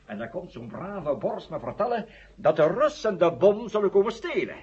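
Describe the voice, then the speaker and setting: An actor playing a bitter, vengeful professor with a sarcastic, theatrical delivery in a radio drama. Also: male voice, high voice, big pitch swings, normal speed, a little mellow, slightly rough, heavily acted. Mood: bitter, scornful. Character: bitter, vengeful male bomb-inventing professor, scornful of Americans. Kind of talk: 1970 Dutch radio drama acting. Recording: muffled old 1970 radio recording.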